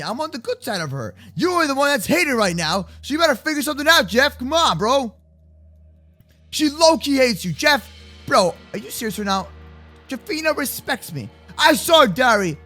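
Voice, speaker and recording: A young adult man improvising kids' Minecraft roleplay commentary in an excitable, slangy way, often repeating his words. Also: very high-pitched, normal speed, soft background music. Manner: slangy, addresses partner as bro and man. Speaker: gaming video host and roleplayer.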